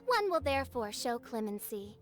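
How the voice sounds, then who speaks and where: A woman's voice: a little high, sing-song, normal speed, soft background music. A woman voicing a haughty, theatrical teenage princess in a video game, with pompous, archaic speech. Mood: haughty disdain. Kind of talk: video game cutscene acting.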